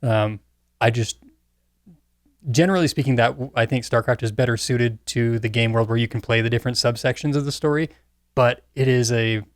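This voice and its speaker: A man on a podcast panel chatting casually, laying out his opinions in a measured, explanatory way. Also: mid-range voice, steady tone, no echo, studio quality. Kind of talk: casual chat.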